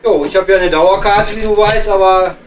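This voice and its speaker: An actor playing a weary, thirsty coal miner in a pub comedy audio play, grumbling in matey slang with a Ruhr German accent. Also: male voice, high voice, normal speed, close to an everyday voice. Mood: complaining. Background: music.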